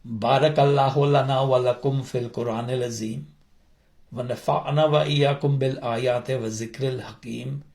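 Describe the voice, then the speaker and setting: A man's voice: mid-range voice, fairly even intonation, racing, gravelly, a little mellow. A man reciting a formal prayer in a continuous liturgical style. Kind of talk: rapid Arabic prayer recitation.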